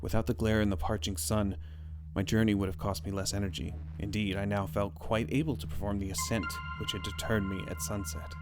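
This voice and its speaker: A man's voice: fairly low pitch, level tone, a little fast, over music, natural voice, low-quality recording. A man playing a haunted explorer recounting a strange ordeal in a solemn, literary audio drama, with an ambient score behind. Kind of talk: dramatic audio horror story narration. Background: ambient musical score.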